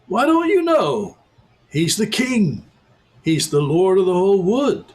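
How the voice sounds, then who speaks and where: A man reading aloud and voicing an excitable, earnest male beaver who talks emphatically. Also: sits high, unhurried, voice pushed up. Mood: eager.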